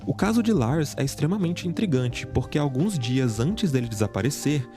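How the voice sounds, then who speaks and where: A man giving a scripted, measured true-crime narration. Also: medium pitch, big pitch swings. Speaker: narrator of the reacted video. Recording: music bed under narration. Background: background music.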